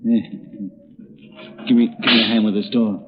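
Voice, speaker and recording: An actor playing a jumpy, menacing underworld henchman with rough, slangy tough-guy talk in an old-time radio drama. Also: fairly low pitch, little pitch movement, normal speed, close to an everyday voice. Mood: rough, mocking. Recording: thin, phone-like old radio recording.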